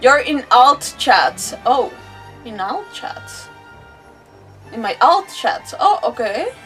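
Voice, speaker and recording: A woman's voice: on the high side, sing-song, takes it slowly, a bit bright, distant mic, faint music behind, poor audio. A woman gamer hosting a casual livestream, chatting in a rambling, giggly way and often interrupting herself.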